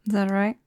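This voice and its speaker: Casting: a woman playing a nervous young British vampire friend, slow, mellow and soft-spoken, for close-mic ASMR roleplay. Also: no echo, natural voice. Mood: shy, tentative. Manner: hesitant, soft-spoken, breaking into whispers and small nervous laughs. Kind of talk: intimate ASMR roleplay acting. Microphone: close-up microphone, dry.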